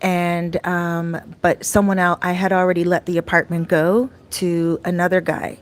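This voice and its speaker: A middle-aged woman vlogging casually about her life abroad, chatty and rambling, her voice a little raspy and often clearing her throat. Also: lowish voice, flat delivery, moderate pace. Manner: chatty, rambling, with many fillers and restarts. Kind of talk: casual talk to camera. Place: her home in a Dominican neighborhood.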